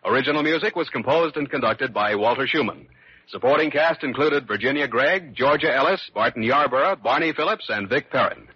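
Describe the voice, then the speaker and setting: A man's voice: medium pitch, expressive, fairly quick, breathy edge. A man reading scripted announcements as a 1940s radio drama announcer. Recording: muffled old radio recording over loud music. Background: loud theme music.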